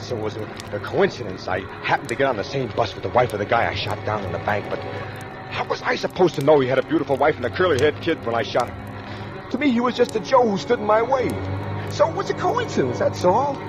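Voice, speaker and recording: An actor playing a nervous killer on the run, narrating in tough colloquial talk in 1940s radio style. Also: male voice, medium pitch, lightly acted. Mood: guilty, shaken. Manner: colloquial tough-guy talk with tense hesitations. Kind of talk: 1940s radio acting, first-person crime narration. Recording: old radio recording with musical underscore.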